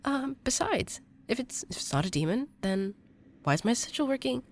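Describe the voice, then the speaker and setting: A woman playing a defensive, stubborn young occultist in an audio drama, speaking informally in halting challenges. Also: on the high side, big pitch swings. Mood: challenging.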